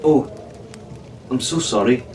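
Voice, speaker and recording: A man's voice: medium pitch, slow pace, close to an everyday voice. A man voicing a feisty, blunt teenage girl adventurer in a storybook reading. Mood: sympathetic. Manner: blunt, muttering, soft-hearted underneath. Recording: echoey room, distant mic, faint hiss.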